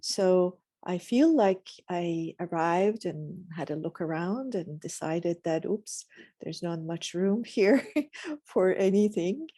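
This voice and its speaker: A woman from Iran, telling her story reflectively in a measured, philosophical way as a guest. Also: lowish voice, warm tone, no echo, clean studio sound. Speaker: podcast guest.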